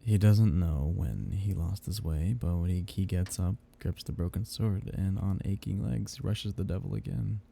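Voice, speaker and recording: A man playing a seductive, possessive vampire captor in an intimate ASMR roleplay, teasing and condescendingly tender in a breathy, gravelly murmur. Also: sits low, normal speed, hardly any pauses, mellow, slight background noise. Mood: teasing, musing.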